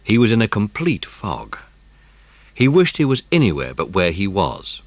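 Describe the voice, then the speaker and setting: A man's voice: fairly low pitch, huge pitch swings, normal speed, no echo. A man reading scripted narration of a children's mystery story as an audiobook narrator.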